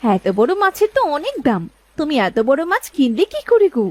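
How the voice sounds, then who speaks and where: Cartoon village wife, young and caring, played by a woman; highly animated, slightly high, husky. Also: fairly quick, dry recording. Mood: surprised. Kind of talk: cartoon dialogue.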